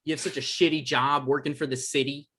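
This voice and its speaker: Man playing whiny, argumentative male novelist, husky edge, quick to anger, table-read drama. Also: mid-range voice, flat delivery, bright, forceful tone. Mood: indignant. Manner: argumentative, exasperated. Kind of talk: live table read of a screenplay.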